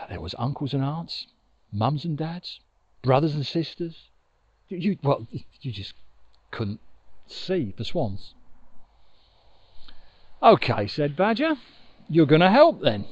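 A middle-aged man telling a children's story expressively, with dramatic pauses, recorded outdoors on a lapel mic. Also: on the high side, big pitch swings, slightly rough, no room sound, lo-fi sound. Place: outdoors on an open riverbank. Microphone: lapel mic with furry windshield.

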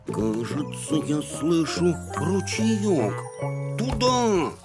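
A man voicing a big, hesitant, gently unsure cartoon elephant with drawn-out delivery. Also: fairly high pitch, big pitch swings, heavily acted. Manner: hesitant, drawn-out, trailing second thoughts. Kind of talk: children's cartoon acting. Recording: music behind the voice.

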